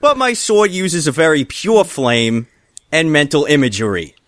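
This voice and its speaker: A man's voice: on the high side, animated, measured pace, fairly bright tone, dry recording, clean studio sound. A man co-hosting a comedy podcast, reading an odd article aloud in a mock salesman's delivery.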